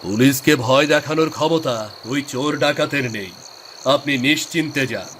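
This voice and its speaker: A man's voice: mid-range voice, moderate pace, fairly bright tone, close to an everyday voice. A man voicing a stern, commanding police inspector in a cartoon, speaking formally and with authority. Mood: stern, resolute. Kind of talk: dramatic cartoon dubbing. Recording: clear night insect noise behind. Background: crickets, frogs, night insects.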